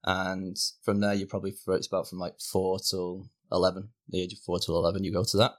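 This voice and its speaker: A man's voice: deep voice, little pitch movement, a little fast. A young man from near Manchester with a Northern English accent, chatting as a podcast guest in an easygoing way. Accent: Northern English, Manchester area. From England. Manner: easygoing, explains with fillers. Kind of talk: casual conversation.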